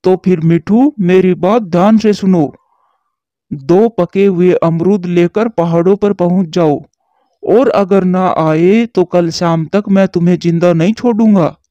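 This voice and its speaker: A man's voice: high-pitched, warm tone, no echo, lo-fi sound. A man playing Kalu, a scheming crow villain. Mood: menacing. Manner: menacing, slow threats over the phone. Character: scheming male crow villain, threatening bully.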